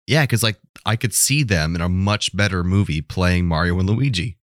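A man's voice: low voice, moderate pace, dry recording, studio quality. A man co-hosting a podcast, chatting casually about film in an informal way, often leaning on "like". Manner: informal, hedging with frequent "like". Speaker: podcast co-host. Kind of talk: casual film debate chat.

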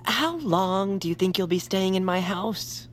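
A woman's voice: medium pitch, lively intonation, a little slow, a little hiss or hum, lightly acted. A woman playing a prim, long-suffering little homebody in a comedy audio drama, courteous and polite. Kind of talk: audio fiction comedy acting.

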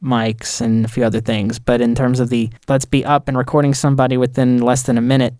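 A man's voice: mid-range voice, flat delivery, brisk, darkish tone, no room sound, clean studio sound. A man chatting casually as a podcast panelist, explaining things informally. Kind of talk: casual gear talk.

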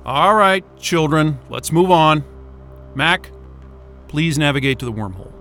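An actor playing an irritable, excitable crewman with a sarcastic, profane streak in a science-fiction audio drama. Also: on the high side, unhurried, exaggerated voice. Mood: impatient. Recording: music bed behind the voice.

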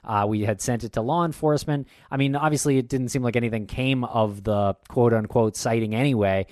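A man's voice: medium pitch, brisk, darkish tone, dry recording, studio quality. A man co-hosting a podcast, talking in an easygoing way with frequent fillers. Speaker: podcast co-host. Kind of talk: casual podcast chat.

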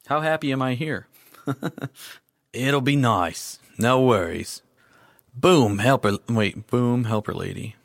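A man's voice: mid-range voice, slow pace, gravelly. A man recording voice lines who keeps flubbing and criticizing his own takes. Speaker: voice actor. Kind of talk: recording session outtakes.

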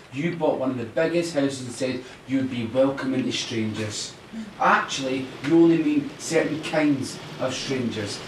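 An actor playing a resentful, sardonic outsider with an accusing manner in a naturalistic audio drama. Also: male voice, fairly high pitch, close to an everyday voice. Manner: sarcastic, accusing. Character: resentful, sardonic outsider man needling privileged hosts. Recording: roomy, distant, faintly noisy recording.

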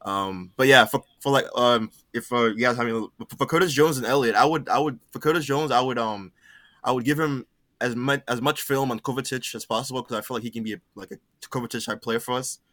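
Young male caller, quick and bright, dry sound, plenty of ums and restarts. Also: medium pitch, no room sound. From Cameroon, raised in the United States. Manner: fast, halting, full of fillers and restarts. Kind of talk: casual football chat.

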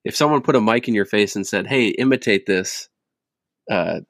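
A man's voice: lowish voice, moderate pace, no echo, studio quality. A man hosting a casual sports podcast, laid-back and stumbling through restarts. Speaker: podcast host. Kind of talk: casual chat.

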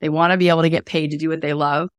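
A woman's voice: sits low, level tone, very fast, dry recording. Enthusiastic woman podcast host, dry sound. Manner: enthusiastic, guiding questions. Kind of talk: podcast interview conversation.

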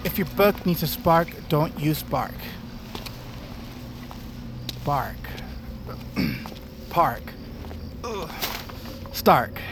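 An actor playing a goofy, chatty, easily spooked salesman in a comedic audio drama, rambling and excitable. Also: a little high, very slow, pauses often, background noise, full character voice. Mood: musing. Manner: rambling, excitable, talks to himself.

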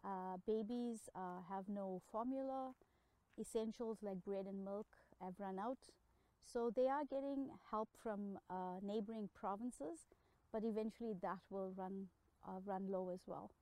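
A South African woman speaking as an interview guest, earnest and heartfelt, pausing often with fillers. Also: mid-range voice, mellow, rough recording. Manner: halting, many filler pauses, heartfelt.

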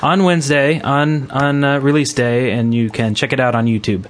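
Casual male podcast host, halting delivery. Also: mid-range voice, steady tone.

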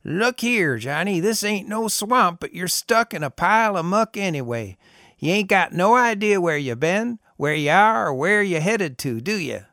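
A tinny, high old desert drifter. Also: male voice, animated, normal speed, sharp tone, no echo, studio quality, heavily acted. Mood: knowing. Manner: drawling, folksy, chatty. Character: skinny old bearded desert drifter, cheerful and sly.

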